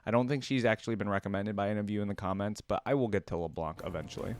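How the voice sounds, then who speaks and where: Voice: deep voice, very fast, a little mellow, soft background music. A YouTube host giving casual, informal reaction commentary with short interjections.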